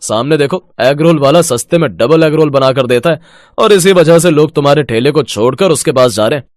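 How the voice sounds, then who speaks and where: A man's voice: fairly high pitch, brisk, dry recording, clean studio sound, close to an everyday voice. A man playing a chatty, outspoken street-food customer in a moral story, with a familiar manner. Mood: frank.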